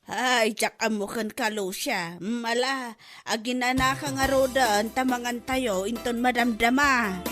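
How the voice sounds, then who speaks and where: A woman with an Ilocano accent playing a sympathetic, motherly aunt who gently admonishes in a comedy drama. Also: a little high, fairly even intonation, measured pace, music in the background, natural voice. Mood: concerned. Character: concerned, motherly aunt who keeps a grocery store.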